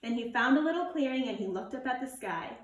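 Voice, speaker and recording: A woman reading a children's storybook aloud like a teacher, in an expressive, animated way. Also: fairly high pitch, quick pace, highs cut off. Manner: animated, acts out character lines. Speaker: elementary school teacher reading to pupils. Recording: roomy echo, distant microphone.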